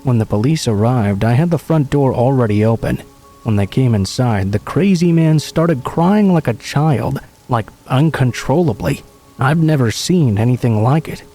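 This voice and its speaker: A man calmly narrating true horror stories in an even, scripted read. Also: mid-range voice, moderate pace, soft background music.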